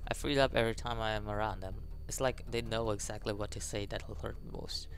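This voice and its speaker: A man's voice: fairly low pitch, fairly even intonation, brisk. A man hosting a gaming video, reading story text aloud with stumbles and adding reactive commentary, faint music behind. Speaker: gaming channel host. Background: faint game music.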